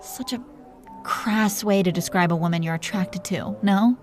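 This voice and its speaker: A woman's voice: mid-range voice, normal speed, faint music behind, lightly acted. A woman voicing a seductive, possessive dragon queen in an intimate romantic roleplay audio, teasing and commanding as she flirts with pet names. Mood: mock-offended, flirtatious.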